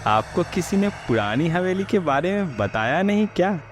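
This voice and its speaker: Man voicing a nervous, warning taxi driver, pitched up. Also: big pitch swings, normal speed, background noise. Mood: alarmed, warning. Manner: pleading, cautionary. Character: frightened male taxi driver, anxious, warning, deferential. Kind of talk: horror storybook dialogue.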